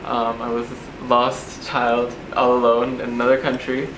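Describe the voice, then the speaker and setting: A young man from Bangladesh who speaks with an American accent, keeping his delivery flat and level. The room around him is echoey, with a steady air-conditioner noise underneath. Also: mid-range voice, moderate pace. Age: young adult. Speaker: student interviewer. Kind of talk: casual interview. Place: apartment.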